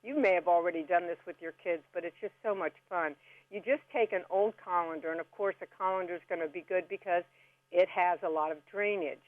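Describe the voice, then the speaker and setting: A woman's voice: fairly low pitch, brisk, dry recording. A woman giving casual, chatty step-by-step instructions as a radio guest, in a folksy way. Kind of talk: casual step-by-step how-to chat. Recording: band-limited phone-like radio call.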